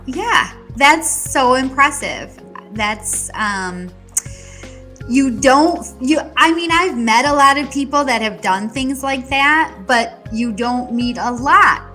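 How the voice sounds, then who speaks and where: A woman hosting a casual interview, encouraging and quick to affirm her guest. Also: high voice, expressive, sharp tone, faint music behind. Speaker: podcast host interviewing an agent.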